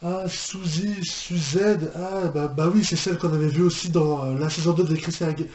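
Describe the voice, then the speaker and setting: A man with a fairly high voice gives an off-the-cuff reaction commentary. He hesitates, circles back and repeats himself as he goes. The room is clearly echoey, with faint noise behind him. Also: steady tone, moderate pace, darkish tone. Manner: hesitant, rambling, repeats phrases. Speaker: reaction video host. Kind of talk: casual spoken reaction commentary.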